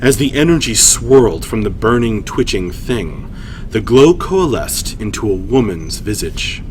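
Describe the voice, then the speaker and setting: A man's voice: fairly low pitch, sing-song, measured pace, slight background noise. A man hosting a live story reading, narrating and chatting casually with hesitant, self-deprecating asides. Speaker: storytime host and reader.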